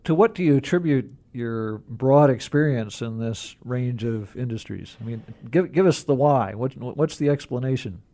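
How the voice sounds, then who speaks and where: Mellow-voiced male radio interviewer. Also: mid-range voice, moderate pace, hardly any pauses. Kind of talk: conversational radio interviewing, introducing songs.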